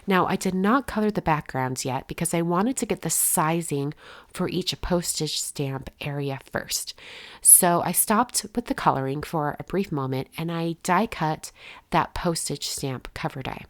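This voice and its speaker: A woman's voice: deep voice, a little slow, dry recording. A woman hosting a card-making channel, narrating a casual step-by-step voice-over while congested from a head cold. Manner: chatty, relaxed, explains step by step.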